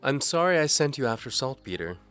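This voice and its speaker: A man's voice: mid-range voice, sing-song, a little fast, fairly bright tone, clear, resonant tone, pitched above the performer's own voice. A man voicing a cool, teasing, scheming noblewoman in an audio drama, with music behind him. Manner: teasing, coolly firm. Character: alluring, scheming noble lady with a cool temper.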